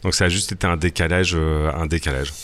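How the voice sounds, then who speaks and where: A man's voice: sits low, fairly even intonation, fast, over loud music. A man representing an association, explaining its work in an interview with frequent hesitations. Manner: explanatory, with frequent euh fillers. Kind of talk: interview answers.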